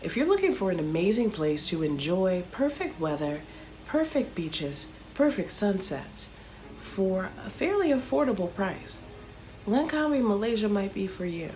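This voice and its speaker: A woman from Chicago, a comedian, narrating a travel story in a chatty, animated storytelling way with playful asides. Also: mid-range voice, a little slow, soft background music, rough recording. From Chicago, United States.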